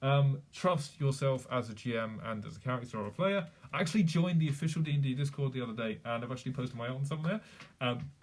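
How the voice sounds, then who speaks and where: A man's voice: mid-range voice, fast talker. A young man chatting casually on a live stream, rambling, swearing freely and laughing often. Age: young adult.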